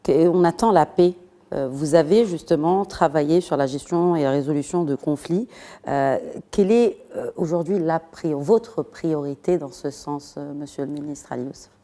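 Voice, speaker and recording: A woman interviews a minister with a lowish, warm-toned voice. She asks formal, polite questions with small hesitations. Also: normal speed.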